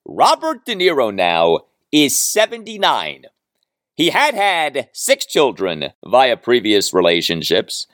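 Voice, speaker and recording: A man delivers a solo sports talk monologue as a podcast host. His pitch swings widely as he leans on key phrases with dramatic emphasis and rhetorical repeats. The sound is dry, with no room echo. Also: wildly swooping pitch, slow pace, fairly bright tone.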